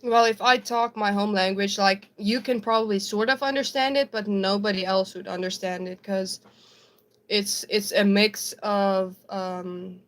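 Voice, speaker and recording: A young woman from South Africa, raised speaking Afrikaans, who talks with an American-sounding accent. She chats loosely with her stream audience, giggling often and filling gaps with ums and likes. Her voice sits at a middling pitch for a woman. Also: fairly even intonation, unhurried. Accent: American-sounding English. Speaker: livestreamer. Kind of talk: casual chat reading viewer comments.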